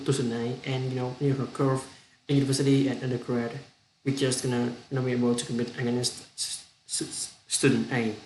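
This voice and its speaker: Halting young man, Vietnamese accent. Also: mid-range voice, roomy sound, a little hiss or hum, poor audio. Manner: halting, with frequent restarts and repeated words. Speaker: student practicing spoken English. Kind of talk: unscripted speaking-practice monologue.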